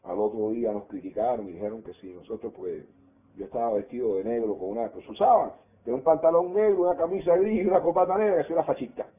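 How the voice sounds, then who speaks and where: A man's voice: medium pitch, quick pace. Older man, Cuban Spanish accent, storytelling, narrow phone-line sound. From Cuba. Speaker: interviewee. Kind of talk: oral history recollection. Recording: tinny phone-like audio.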